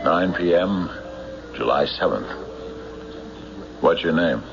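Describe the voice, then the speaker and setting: Unyielding sheriff, deep voice, lively intonation, dry, probing, old radio drama. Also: male voice, slow, vocal fry, heavily acted. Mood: stern, suspicious. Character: tough, skeptical, unyielding male sheriff. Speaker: sheriff narrating his own investigation. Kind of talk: old-time radio drama acting and narration. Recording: muffled old radio recording with music.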